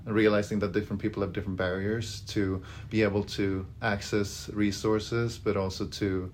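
A man's voice: lowish voice, flat delivery, normal speed, slight background noise. A Swedish man, a library director in his thirties, answering interview questions thoughtfully with frequent fillers and restarts. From Sweden.

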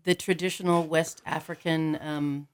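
Low-voiced enthusiastic woman music teacher. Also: flat delivery, normal speed. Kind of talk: casual interview talk.

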